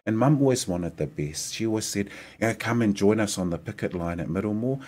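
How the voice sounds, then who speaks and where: A man's voice: on the low side, brisk. A man, a political candidate answering interview questions earnestly in a small studio at a desk microphone. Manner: earnest, persuasive, animated hand gestures. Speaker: mayoral candidate guest. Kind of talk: conversational interview. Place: small studio with decorated backdrop.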